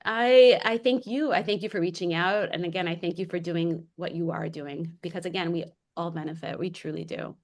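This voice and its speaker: A woman's voice: on the low side, steady tone, fairly quick, few pauses. A woman with an American accent, talking casually and expressively as a guest in a heartfelt conversation. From New York, United States. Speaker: interview guest.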